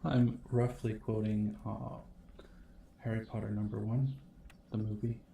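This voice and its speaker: Slow, mellow-voiced man in an echoey room. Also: fairly low pitch, level tone, gravelly, slight background noise. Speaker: ASMR host. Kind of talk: casual live-stream chat. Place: home room. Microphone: multiple sensitive ASMR mics.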